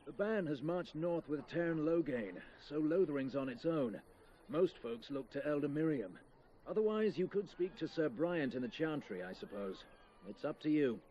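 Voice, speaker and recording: An actor playing a weary templar knight in a fantasy game, giving grave, plain-spoken warnings. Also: male voice, on the high side, animated, normal speed, mellow, natural voice. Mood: weary, cautionary. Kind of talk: fantasy video game dialogue acting. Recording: dull, band-limited game audio, music behind.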